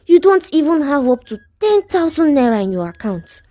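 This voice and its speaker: A woman's voice: high voice, sing-song, normal speed, mellow, dry recording, soft background music, pitched above the performer's own voice. Blunt, teasing young Nigerian woman acted by a storyteller. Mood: mocking, teasing. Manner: blunt, chiding. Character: frank, teasing young woman, scammer's cautious friend.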